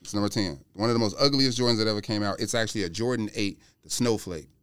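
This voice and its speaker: A man's voice: on the low side, fast talker, fairly bright tone, smooth, no room sound, clean studio sound. A man chatting as a podcast guest in an informal, blunt way. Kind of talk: casual sneaker podcast chat.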